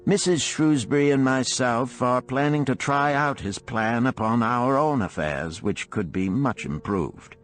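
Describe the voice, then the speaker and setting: A man's voice: mid-range voice, measured pace, few pauses, soft background music, voice pushed up, lightly acted. A man voicing a scholarly British archaeology professor in an audiobook, chatty, mannered and politely old-fashioned. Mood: wry, musing. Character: genteel, scholarly male British archaeology professor writing a letter. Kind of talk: 1930s letter read aloud in an audiobook.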